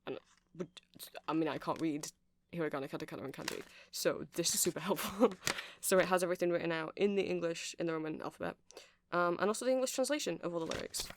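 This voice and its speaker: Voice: medium pitch, fast, slight background noise, natural voice. A performer in an ASMR roleplay playing a nerdy, awkward, friendly male vampire music-shop seller who rambles hesitantly and apologetically to the listener. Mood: rambling, helpful.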